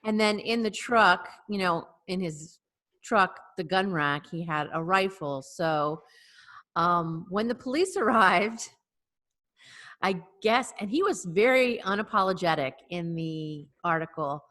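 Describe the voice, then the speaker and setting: Woman cohost on a zoom podcast, lowish voice, dry little jokes, lots of ums. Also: expressive, a bit bright. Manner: unhurried, hesitant with fillers and wry asides. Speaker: podcast co-host. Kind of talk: casual storytelling chat. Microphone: video call.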